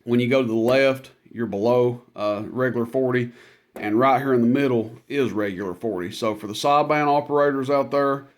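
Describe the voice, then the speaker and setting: A man talking in a folksy, plainspoken, unscripted way as he demonstrates gear he is selling. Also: medium pitch. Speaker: product demonstrator, radio seller. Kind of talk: casual product demo.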